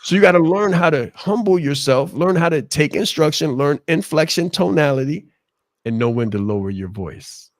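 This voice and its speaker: A man with a naturally loud, projecting voice, coaching on a video call with passion and emphatic repetition. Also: a little high, animated, moderate pace, no echo, studio quality. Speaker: leadership coach. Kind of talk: motivational talk on a live show.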